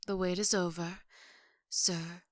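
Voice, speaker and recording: A woman's voice: lowish voice, flat delivery, slow, no echo, studio quality. A woman playing a formal, devoted maid with a polite menace in an ASMR roleplay, composed and often whispery. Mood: courteous.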